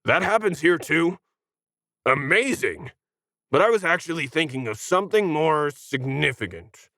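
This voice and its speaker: A man performs a brash alien for a sci-fi storybook, snarky and slangy. The voice has some gravelly creak and sits close and dry, full of condescension. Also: on the high side, a bit bright, dry recording, full character voice. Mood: sardonic. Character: brash sarcastic tentacled alien, condescending and fast-talking. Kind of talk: acted sci-fi storybook dialogue.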